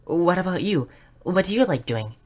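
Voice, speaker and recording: Woman acting a low-voiced, shy nerdy goblin girl. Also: sing-song, normal speed. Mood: curious. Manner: soft, hesitant, stammering, earnest. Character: shy, nerdy, bubbly young goblin girl, curious and sweet. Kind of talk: roleplay audio drama, spoken to the listener.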